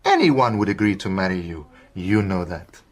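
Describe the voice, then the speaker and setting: An actor playing a genial, cautious doctor in a radio drama, speaking in a courteous, measured way with a Southern African accent. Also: male voice, low voice, sing-song. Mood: playful. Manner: courteous, measured, precise phrasing.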